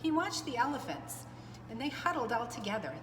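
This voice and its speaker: Animated woman storyteller in echoey room. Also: on the high side, a little fast. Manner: expressive, chatty asides to young listeners. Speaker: preschool storyteller. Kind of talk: animated read-aloud for young children. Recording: echoey reverberant room, clear background noise.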